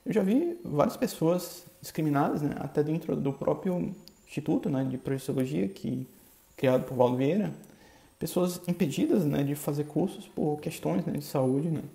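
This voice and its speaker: Bahian man with a gravelly voice. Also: a little high, dark tone. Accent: Bahian Brazilian Portuguese. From Bahia, Brazil. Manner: halting, with constant filler tags. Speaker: podcast host. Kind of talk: casual monologue. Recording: echoey room.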